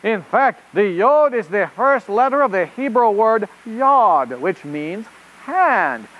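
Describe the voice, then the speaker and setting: A performer voicing an excitable, easily flustered rabbit reporter puppet in a children's show, with a theatrical delivery and a put-on French accent. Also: medium pitch, slow pace, low-quality recording. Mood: earnest. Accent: fake French. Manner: theatrical, exclamatory.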